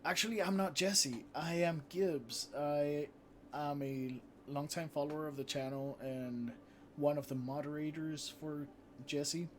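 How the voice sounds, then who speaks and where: A man's voice: a little high, fairly even intonation, unhurried, slight background noise. A man from Mexico, a Spanish speaker, chatting casually with viewers and reading out their comments while live-streaming a game. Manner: chatty, reads out viewer comments, frequent fillers and restarts. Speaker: live streamer and channel moderator. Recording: game music playing loudly underneath. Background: game music.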